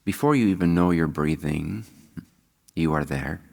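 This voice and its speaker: A man leading a guided meditation as a spiritual teacher, speaking calmly and unhurriedly with long pauses. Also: a bass voice, gravelly. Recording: long hall-like reverb.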